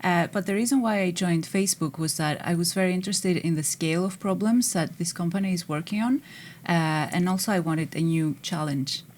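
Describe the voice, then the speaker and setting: A woman's voice: on the low side, fairly quick, a little mellow. A woman from Greece, an engineering manager answering panel questions thoughtfully, with frequent hesitations.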